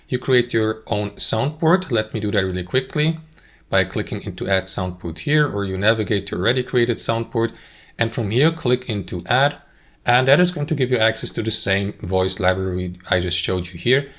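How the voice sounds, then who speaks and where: A man presenting an informal instructional software walkthrough, explaining things step by step to his listeners. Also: on the low side. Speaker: tutorial presenter.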